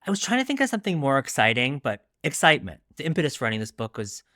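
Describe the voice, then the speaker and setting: A man's voice: medium pitch, big pitch swings, rapid-fire, pushed and bright, dry recording, clean studio sound. A man in his late forties answering interview questions conversationally as a debut novelist, reflective and a little self-deprecating.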